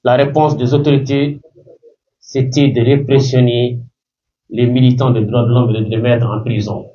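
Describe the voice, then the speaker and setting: A man's voice: medium pitch, little pitch movement, normal speed, dark tone. A man speaking at an activist meeting who gives an earnest personal testimony and switches between languages. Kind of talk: public speech. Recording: muffled, distant recording.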